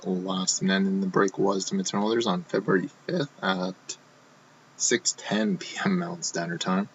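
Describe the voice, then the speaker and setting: A man hosting a live card box break, talking casually while he opens packs, his voice going hoarse. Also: low-pitched, steady tone, measured pace, muffled recording, a little hiss or hum. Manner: casual, running commentary while opening packs. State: losing his voice.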